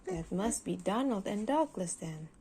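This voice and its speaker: A woman's voice: mid-range voice, animated, a little slow, noisy background. A woman reading a storybook aloud to a young child, expressively, coaching and prompting as she goes. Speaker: parent helping a child read.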